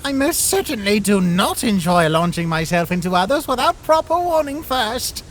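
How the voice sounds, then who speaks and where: A man playing an earnest, dramatic, downhearted young skeleton in an animated comic dub, speaking in formal, theatrical phrases. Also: high voice, moderate pace, a little hiss or hum. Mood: apologetic.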